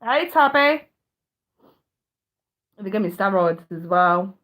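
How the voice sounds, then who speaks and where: A woman's voice: mid-range voice, expressive, slow pace. Middle-aged woman, stuffy-nosed, rambling live-stream host on a webcam or phone. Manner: chatty, warm to viewers, swears casually. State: blocked nose. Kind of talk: casual live-stream chat.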